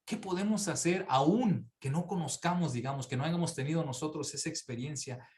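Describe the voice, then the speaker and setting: A man's voice: on the high side, brisk, darkish tone. A man moderating a panel discussion, asking questions hesitantly and often repeating words while he thinks.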